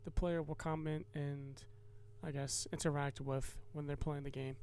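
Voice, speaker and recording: A man's voice: on the high side, level tone, normal speed, creaky, heavy background noise. A man narrating a casual game devlog as its developer and host, explaining in a hesitant, rambling way.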